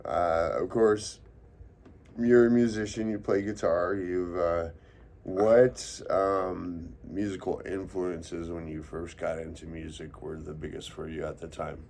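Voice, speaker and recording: A man with a low voice leads a relaxed, unscripted interview. He is from Texas and talks informally, with slang and the odd casual swear word. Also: a little slow, slight background noise. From Texas, United States. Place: inside a private house.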